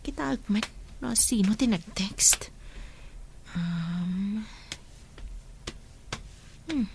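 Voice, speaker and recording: A woman playing a devoted, watchful wife in a radio drama, speaking in a soft, emotive way with an Ilocano accent. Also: mid-range voice, animated, very slow, creaky, noisy background, heavily acted. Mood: hushed, suspicious. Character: devoted wife, tender but watchful of husband. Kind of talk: Filipino radio drama acting.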